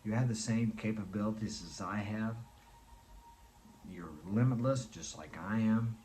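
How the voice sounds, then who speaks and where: A man in his sixties speaks in a somewhat low voice, delivering an earnest motivational monologue. He presses his points home by repeating them. A faint hiss of ambient noise sits under the recording. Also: a little slow, a little mellow. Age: 60s.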